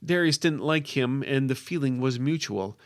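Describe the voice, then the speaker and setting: A man narrating a scripted fantasy story as its author, in a deliberate, dramatic and expressive way. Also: medium pitch, dry recording, studio quality.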